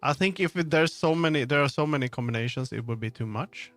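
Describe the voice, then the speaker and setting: A man's voice: mid-range voice, fast, fairly bright tone, no echo, faint music behind. A man streamer chatting casually, rambling and muttering to himself as he jumps between thoughts. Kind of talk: casual livestream chat.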